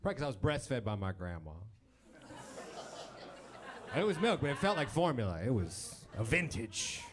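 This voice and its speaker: Male comic, 30s, wry and self-mocking, lively pitch, jumps to high voices for impressions. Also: normal speed, crowd noise, background noise. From born in Romania, raised in Texas. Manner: dry, self-deprecating, mimics people in high voices. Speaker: stand-up comedian. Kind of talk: stand-up set. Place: comedy club stage with live audience.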